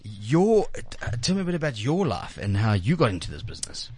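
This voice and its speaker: Male South African radio host, lively swooping delivery, dry close studio sound, effusive and jokey. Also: medium pitch, fairly quick, slightly rough, no room sound. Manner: effusive, jokey, repeats himself. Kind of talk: informal chat and live sponsor reads.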